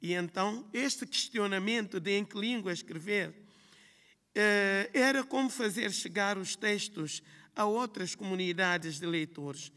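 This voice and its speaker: A woman's voice: medium pitch, fairly bright tone. Guinea-Bissau woman reading a speech in a hall. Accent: Guinea-Bissau Portuguese. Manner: measured, reflective, listing phrases in rhythm. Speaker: writer on a festival panel. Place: large hall at a literary festival. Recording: long hall reverb.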